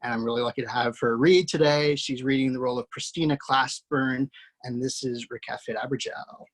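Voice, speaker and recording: A man's voice: mid-range voice, fairly even intonation, a little fast. A man hosting over a video call, casually introducing a cast with effusive praise, loose and full of fillers. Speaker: host introducing a table-read cast.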